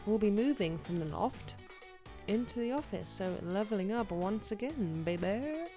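A woman co-hosting a casual chat podcast, playful, chatty and quick to laugh. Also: medium pitch, animated, dark tone, faint music behind. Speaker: podcast co-host.

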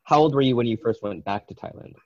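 A man's voice: medium pitch, quick pace, warm tone, lo-fi sound. A man co-hosting a podcast, asking brief, curious interview questions. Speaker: podcast co-host.

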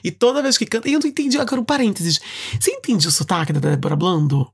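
A man's voice: high voice, animated, very fast, creaky, husky. A man with a Brazilian accent hosting a casual chat, playfully humming garbled song lyrics for a guessing game and laughing often.

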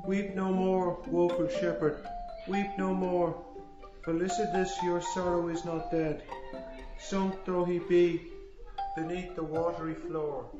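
Eager young schoolboys, put on by a man reading a story, pipe up in high, pleading, sing-song voices. The room rings around them, and music plays under a dull, muffled recording. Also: little pitch movement, slow, roomy sound. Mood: reciting. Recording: muffled audiobook with music beneath.

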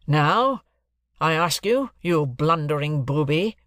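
A man's voice: on the high side, expressive, measured pace, creaky, fairly bright tone, no echo, clean studio sound, pitched above the performer's own voice, exaggerated voice. A man voicing a stern, domineering middle-aged lawyer in an audiobook, blustering and imperious. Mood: irate, stern.

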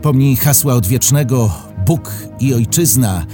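A man's voice: mid-range voice, lively intonation, a little slow, over music. A man giving a dramatic reading of a historical military proclamation. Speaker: reader of historical texts.

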